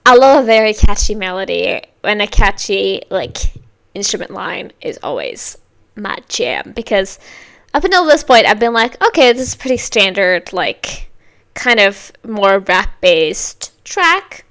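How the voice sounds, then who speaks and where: Woman reaction host, mid pitch, loose chatty delivery full of "like", gushing bursts. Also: big pitch swings, unhurried, creaky, fairly bright tone. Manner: chatty, rambling, many likes and you-know-what-I-means. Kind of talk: casual music reaction commentary.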